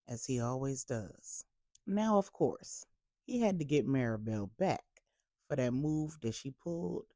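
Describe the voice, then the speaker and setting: Low, mellow woman storyteller with lively pitch. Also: vocal fry, no echo, studio quality. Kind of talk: dramatic scripted narration of a bedtime story for adults.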